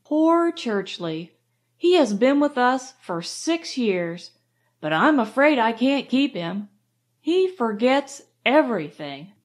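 A woman's voice: on the high side, very animated, slow pace. A woman reading aloud, voicing a proud, fussy mother who insists she is strong and in charge of her household. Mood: fretful. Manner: insistent, self-assured.

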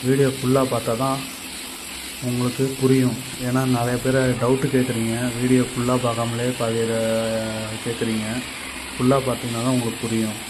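A man's voice: mid-range voice, level tone, brisk, background noise. A man from Tamil Nadu, a carpenter explaining step by step how to make a tool, casual and repetitive. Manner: plain step-by-step explaining, repeats himself. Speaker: carpenter teaching on his channel.

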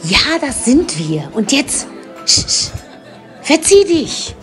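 A woman's voice: high-pitched, very animated, slow pace, soft background music, pitched above the performer's own voice, exaggerated voice. A woman as a snappy, bossy old witch. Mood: snappish. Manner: snappy, scolding, impatient. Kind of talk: comic audio drama acting.

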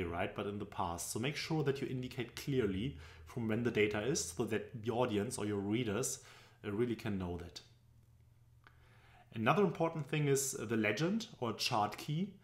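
A young man from Germany with a German accent, explaining business presentation charts in a loose, tutorial style with frequent fillers, recorded on a webcam at his desk. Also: medium pitch. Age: young adult. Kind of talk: screen-recorded tutorial narration. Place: indoor room at home. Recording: echoey room.

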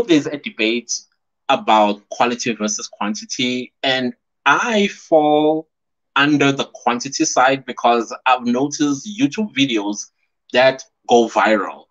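South african guy on a webcam live stream, chatty, laughs a lot, kinda muffled lo-fi sound. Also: medium pitch. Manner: chatty and animated, laughs often, reads out viewer comments. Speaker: YouTuber hosting a live stream. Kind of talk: casual live-stream chat. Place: small indoor room at home. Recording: slightly dull lo-fi stream audio.

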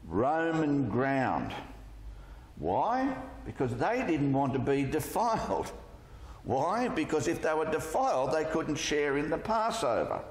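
A man preaching a sermon, deliberate and emphatic, posing rhetorical questions into a lectern microphone. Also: mid-range voice. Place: church hall, at a lectern. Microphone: gooseneck lectern microphone. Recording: echoey church hall with long reverb.

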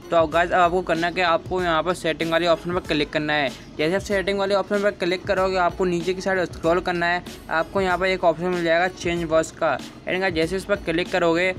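A man's voice: high voice, a bit bright. A young man narrating a gaming tutorial in formulaic, repetitive step-by-step instructions, in the manner of a channel host. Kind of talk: scripted tutorial voice-over. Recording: background music under voice-over. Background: music.

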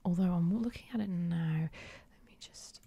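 A woman whispering softly for an ASMR clothing try-on, hesitant and unsure, with many pauses and ums. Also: fairly low pitch, vocal fry, slightly rough. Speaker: ASMR creator.

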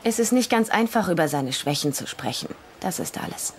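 A woman's voice: on the low side, expressive, brisk, slight background noise, lightly acted. An actress playing a proud, guarded woman with a dry, teasing wit in a German-dubbed TV comedy-drama.

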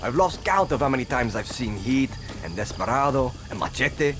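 A man's voice: mid-range voice, animated, moderate pace, music in the background, lightly acted. A young man playing a cheeky, eager guerrilla fighter in a video game, speaking in a casual, wry way. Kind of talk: video game character dialogue.

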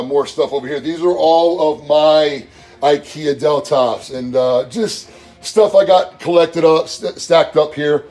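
Rambling man touring his toy room. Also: on the high side. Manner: rambling, relaxed, many fillers and restarts. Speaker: collector giving a room tour. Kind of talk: casual home tour vlog. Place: home game and toy room, indoors. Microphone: handheld phone camera.

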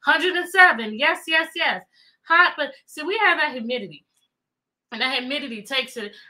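A middle-aged American woman hosting a chatty, interactive livestream for children, animated and repeating phrases and questions to draw listeners in. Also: sits high, normal speed. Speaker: teacher, children's read-aloud host. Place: indoor room with bookshelves.

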